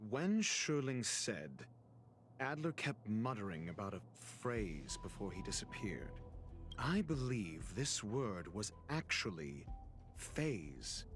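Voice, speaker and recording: A composed detective from a video game, lays out his reasoning in an articulate, deliberate way. His voice sits at a middling male pitch. Music plays clearly behind him. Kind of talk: dramatic video game cutscene monologue. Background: background music.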